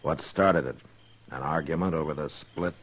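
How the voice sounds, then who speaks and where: An actor playing a stern, seasoned frontier marshal in a radio Western, terse and commanding with a dry, skeptical edge. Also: male voice, extremely low pitch, normal speed. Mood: stern, probing. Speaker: lawman. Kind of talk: old-time radio Western acting. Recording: hissy old radio recording.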